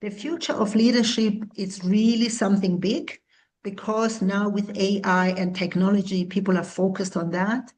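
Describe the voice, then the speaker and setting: A woman in her sixties from South Africa, a founder answering interview questions in an earnest, measured way. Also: mid-range voice, fairly even intonation, a little mellow, poor audio. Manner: earnest, measured, with small restarts. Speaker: guest, founder and CEO.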